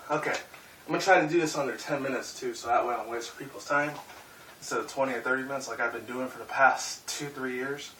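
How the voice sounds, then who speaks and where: A young man in his twenties talks loosely in a casual vlog, rambling, swearing and filling gaps with "like" and "um." His voice is bright and forward. He sits back from the mic in an echoey room with a faint hiss. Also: medium pitch, a little fast. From Puerto Rican and Mexican heritage. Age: 20s. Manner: rambling, profane, filler-heavy. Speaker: YouTuber. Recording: roomy echo, mic at a distance, faint hiss.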